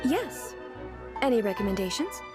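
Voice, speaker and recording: A woman's voice: a little high, sing-song, moderate pace. A woman voicing a regal divine ruler in a video game, composed and formal yet curious and naively earnest. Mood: relaxed. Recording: music behind the voice.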